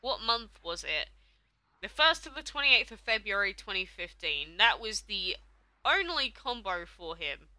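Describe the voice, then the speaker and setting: A woman's voice: mid-range voice, big pitch swings, unhurried, pushed and bright, no room sound. A young woman, streaming a game live and chatting casually as she answers viewers' questions. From England. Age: young adult.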